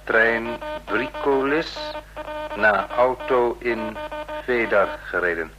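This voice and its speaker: An actor playing a dutiful, matter-of-fact agent relaying reports in a clipped, telegraphic way in a Dutch radio play. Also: male voice, mid-range voice, slow pace, dull audio, natural voice. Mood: clipped, official. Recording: music behind old radio drama.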